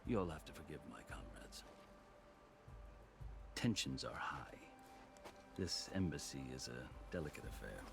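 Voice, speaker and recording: An actor playing a proud, courteous veteran warrior turned diplomat in a video game, speaking in formal, measured oratory. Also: male voice, rumbling low, animated, gravelly, music in the background, heavily acted. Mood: courteous, wry. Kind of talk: dramatic video game cutscene acting.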